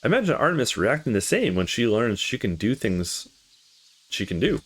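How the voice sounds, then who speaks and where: A man reading visual novel dialogue aloud in a casual, expressive way, as a let's play host. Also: medium pitch, normal speed, breathy edge, faint music behind.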